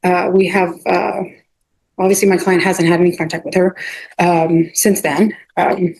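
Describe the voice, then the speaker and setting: A woman speaking as a defense attorney in a court hearing, halting and often repeating or restarting her phrases. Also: lowish voice, little pitch movement, a little mellow, breathy edge, poor audio. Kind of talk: addressing a judge in a court hearing.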